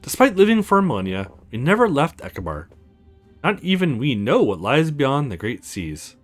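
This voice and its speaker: A man's voice: medium pitch, big pitch swings, faint music behind, natural voice. Man putting on a courtly ancient vampire lord, theatrical swoops. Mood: courteous, puzzled. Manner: formal, measured storytelling. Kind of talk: visual novel character voice read aloud.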